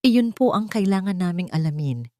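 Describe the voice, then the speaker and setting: A female storyteller plays a social worker in her forties in an audio story. She is courteous, formal and serious as she questions. The sound is dry and close, with lively intonation. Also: on the low side, moderate pace, no echo, natural voice. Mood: probing.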